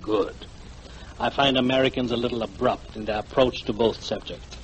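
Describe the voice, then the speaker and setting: An actor playing a fat, weary, self-styled guide in a 1940s radio drama, wordy and ingratiatingly polite. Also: male voice, medium pitch, steady tone, moderate pace, lightly acted. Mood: suave, insinuating. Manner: florid, wordy, over-polite. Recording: noisy vintage 1940s radio recording.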